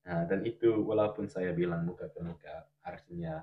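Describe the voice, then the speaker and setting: Deep-voiced man with a cold. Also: sing-song, vocal fry, echoey room, phone-quality sound, slight background noise. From Australia. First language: English. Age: 20s. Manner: careful, deliberate speech as a learner. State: has a cold. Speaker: podcast co-host. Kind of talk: casual podcast chat.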